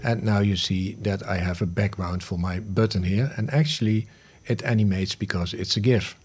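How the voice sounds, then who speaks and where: A man in his fifties narrating a step-by-step software tutorial in short instructional phrases, recorded on a close studio mic. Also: on the low side, fairly even intonation, normal speed, faint music behind. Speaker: host of smart home tutorial videos. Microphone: close studio mic on a boom arm.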